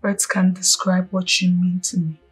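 An actress playing an adoring, loyal, emotional wife in a film drama. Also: female voice, lowish voice, level tone, moderate pace, husky. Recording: music behind the voice.

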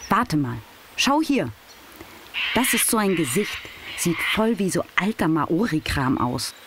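Excitable, swooping teenage girl played by a woman. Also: lowish voice, unhurried, background noise. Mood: excited. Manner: slangy, youthful. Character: curious, excitable teenage girl, talking to herself.

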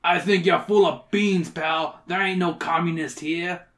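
A jeering tough guy from the street, put on by a man reading aloud, gruff and combative. He talks back in slangy storybook wiseguy style, in a somewhat high male voice. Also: fairly even intonation, measured pace, a bit bright. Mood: jeering, indignant.

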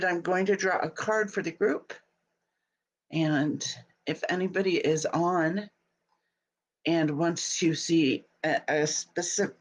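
A woman in her thirties talks casually to her webcam from a home office. Her voice is stuffy and a little scratchy from a cold, and she chats along easily, often breaking into laughs. Her pitch sits in a middle range. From Utah. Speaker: host. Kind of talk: casual live video chat. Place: home office, small room indoors. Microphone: webcam at desk.

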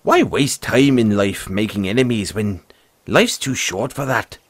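A man's voice: on the low side, animated, unhurried, no room sound. Man playing a folksy, lilting dragon rider mentor. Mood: thoughtful, persuading. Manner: folksy, earnest, musing, says "aye" and "lad". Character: friendly, philosophical male dragon rider, gentle mentor. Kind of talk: audio roleplay addressed to the listener.

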